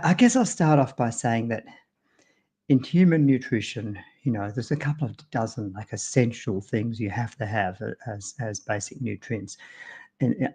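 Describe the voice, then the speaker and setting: An Australian man talks through a nutrition topic on a home live stream, with a gravelly, mellow low edge to his voice. He is casual and loose, scattering ums and restarts as he thinks aloud. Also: dull audio. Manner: loose, full of ums and you-knows, restarts. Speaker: co-host presenting on nutrition.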